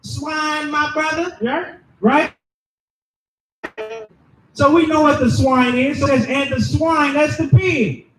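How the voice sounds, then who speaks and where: High-pitched shouting preacher, echoey and distant. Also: male voice, slow pace, long pauses, noisy background. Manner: loud, emphatic, preaching to a crowd. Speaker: street preacher. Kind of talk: shouted sermon with scripture reading.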